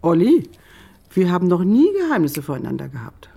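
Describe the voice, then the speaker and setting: An actress playing a nosy, jealous middle-aged friend in a radio crime drama, familiar and probing in manner. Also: female voice, lowish voice, very animated, fairly quick, vocal fry, warm tone, husky, full character voice. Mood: probing, suspicious. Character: nosy, jealous older female friend of the detective.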